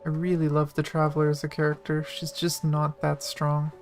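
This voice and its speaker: A woman's voice: sits low, completely flat delivery, moderate pace, darkish tone, soft background music. A woman streamer giving casual live commentary while she plays a game.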